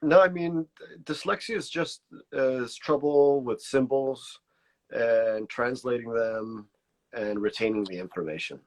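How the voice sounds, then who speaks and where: A man's voice: medium pitch, measured pace. A man born in Mexico with Spanish as his first language, speaking English with a slight border accent, talking casually in an interview, hesitant and searching for words with many restarts. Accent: pocho border Spanglish-tinged American English. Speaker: artist, interview guest.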